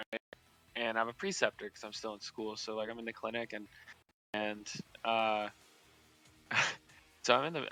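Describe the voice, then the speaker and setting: A man's voice: mid-range voice, sharp tone, rough recording. A young man telling a story casually on a stream, rambling with many restarts and fillers and often breaking into laughter. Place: indoors at a streaming setup.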